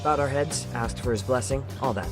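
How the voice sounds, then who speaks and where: A man playing an excitable, casual young detective in an English-dubbed game cutscene, reactive and easily incredulous. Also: medium pitch, a little fast, natural voice. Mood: explanatory. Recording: music behind the voice.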